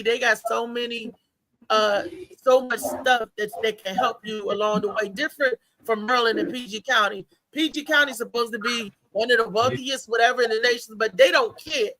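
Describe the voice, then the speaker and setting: A woman chatting casually in a plainspoken, colloquial and somewhat halting way. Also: on the high side, bright, forceful tone, slight background noise, rough recording. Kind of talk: casual chat.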